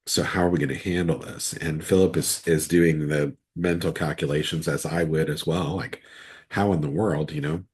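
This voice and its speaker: A deep-voiced man with a husky, rough throat from a cold talks through a Bible passage. He reads the scripture, then teaches in a casual, conversational way from his computer, often circling back to restart a thought. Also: a little mellow. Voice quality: raspy, very deep voice. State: hoarse from a cold. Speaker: pastor. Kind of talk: online midweek Bible study, reading scripture and teaching.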